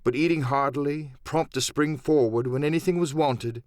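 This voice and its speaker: A man narrating a classic adventure audiobook in an expressive, dramatic storytelling manner. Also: on the high side, moderate pace, no room sound, studio quality. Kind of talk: dramatic scripted literary narration.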